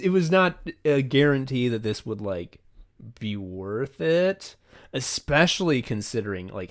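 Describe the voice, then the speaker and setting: Male podcast co-host, lower-pitched, loose conversational delivery, freely profane. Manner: frequent casual swearing. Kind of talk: casual behind-the-scenes podcast chat.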